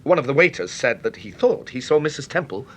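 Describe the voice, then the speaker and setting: An actor playing an apologetic, courteous but persistent gentleman visitor in a vintage radio drama, polite, hesitant and expressive. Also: a little high, brisk.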